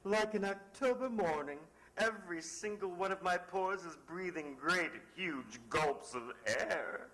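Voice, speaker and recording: A man's voice: sits high, animated, measured pace, few pauses, a bit bright. An actor playing a fussy, affected man in 1940s radio comedy-drama, theatrical and coaxing with pet names. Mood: effusive. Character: fussy, flamboyant, affected male photographer friend. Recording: noisy old radio recording.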